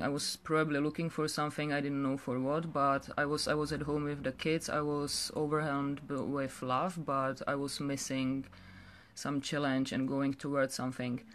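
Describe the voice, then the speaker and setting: A young woman with a Czech accent tells her personal story off the cuff, halting and repeating words. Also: sits low, a bit bright, a little hiss or hum. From Czech Republic. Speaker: direct sales director telling her story. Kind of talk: unscripted personal story to camera. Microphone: phone or webcam held close.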